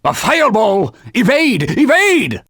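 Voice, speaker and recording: A man's voice: very high voice, wildly swooping pitch, slow pace, a bit bright, dry recording, heavily acted. A man voicing a talking holy sword, a stern old knightly mentor who speaks formally and barks urgent warnings in a game. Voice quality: husky, formal, commanding. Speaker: video game character. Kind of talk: game voice clips. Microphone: studio mic. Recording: dry studio recording.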